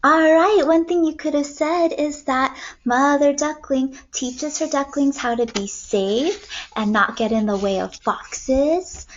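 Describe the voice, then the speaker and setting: A young woman teacher reading aloud to kindergartners in an expressive storytelling way, recorded indoors on a computer mic. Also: high voice, slow, lo-fi sound, a little hiss or hum. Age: young adult. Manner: expressive, clearly paced storytelling for children. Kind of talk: read-aloud lesson for young children. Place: small room at home, indoors.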